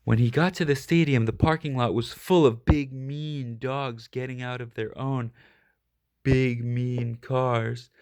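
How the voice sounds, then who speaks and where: A man reading a children's picture book aloud expressively, leaning on key words with deliberate, dramatic emphasis. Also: mid-range voice, steady tone, darkish tone, clean tone. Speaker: storybook reader.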